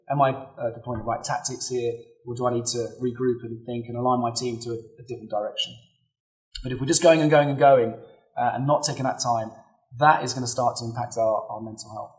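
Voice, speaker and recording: A man in his thirties, slightly hoarse from a cold, giving earnest, advisory solo podcast talk as host and coach and thinking aloud as he goes. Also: mid-range voice, rough recording.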